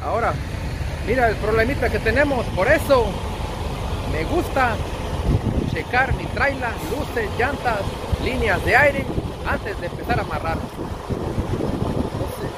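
A man's voice: very high-pitched, expressive, measured pace, pauses often. A man with a Mexican accent narrating a casual trucking vlog, chummy and slangy, talking to viewers as buddies. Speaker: truck driver and vlogger. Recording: clear outdoor wind and vehicle noise.

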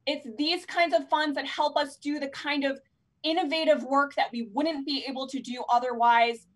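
Young woman host, higher voice, gushing thanks, handheld mic on video call. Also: fairly even intonation. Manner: effusive, thanking donors rapidly. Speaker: fundraising host. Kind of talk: live fundraiser hosting. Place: indoors, plain room at home.

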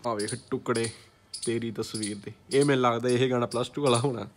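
A man's voice: mid-range voice, fairly even intonation, fairly quick, background noise. A young man with a Punjabi accent chatting informally as he vlogs, mixing in English words. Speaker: vlogger showing a collection. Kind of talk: casual chat while showing items to camera. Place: small indoor room.

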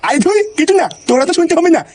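A cocky cartoon schoolboy with an extremely high voice and breakneck delivery. He bickers cheekily and crudely, with clear noise on the recording. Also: lively intonation, rapid-fire, darkish tone. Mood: cocky, bickering. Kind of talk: Bengali comedy cartoon dubbing.

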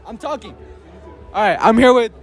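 A young man runs teasing street interviews in a crowded outdoor courtyard, his voice high and bouncing all over. He talks loud, jokes and laughs a lot into a handheld mic. Music and a noisy crowd fill the background. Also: animated, normal speed. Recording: crowd noise and music behind.

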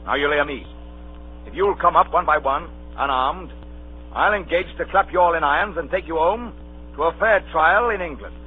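An actor playing a stern, defiant ship's captain in an old radio drama, giving clipped, authoritative orders. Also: male voice, a little high, normal speed, natural voice. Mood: cold, defiant. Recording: tinny old radio recording with hum.

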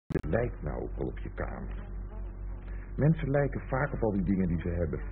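An actor playing a lazy, flippant young boyfriend in a radio play, teasing and rambling nonchalantly. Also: male voice, sits low, big pitch swings, normal speed, vocal fry, heavily acted. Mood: teasing, musing. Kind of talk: Dutch radio play acting. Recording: tinny, hissy old radio recording.

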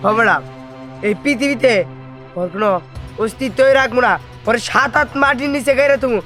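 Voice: mid-range voice, fairly quick, fairly bright tone, close to an everyday voice. Actor doing boastful cartoon henchman, whiny excuses, faint music behind. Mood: boastful, excusing. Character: boastful, blame-dodging hired thug. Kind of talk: cartoon drama dialogue.

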